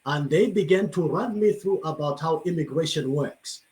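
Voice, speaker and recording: A man from Zambia, a pastor, speaking in a somewhat higher voice. He tells his story in a measured, grateful manner, like a guest answering on a video call. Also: darkish tone. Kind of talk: panel conversation answer.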